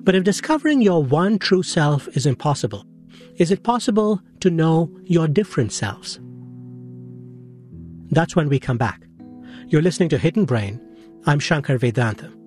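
A man hosting a radio show, asking questions and narrating scripted passages in a calm, polished manner. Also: on the high side, soft background music. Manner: calm, measured, polished delivery. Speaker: radio host.

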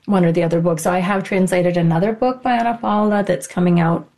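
A woman translator answering interview questions in a measured, reflective way. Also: on the low side, fairly even intonation, vocal fry, darkish tone. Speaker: literary translator.

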